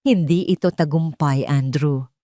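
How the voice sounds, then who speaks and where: A poised Filipino woman bent on revenge, played by a female narrator in an audio drama. She speaks with measured control and quiet menace, recorded very dry. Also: deep voice, no echo. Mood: calm resolve.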